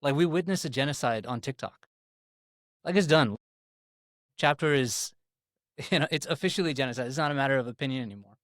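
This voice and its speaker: A man's voice: mid-range voice, brisk, long pauses, a bit bright, no echo. Male podcast guest, earnest and fervent, mid-range voice, dead-dry room. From Pakistan. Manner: earnest, impassioned, repeats words. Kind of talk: casual political discussion.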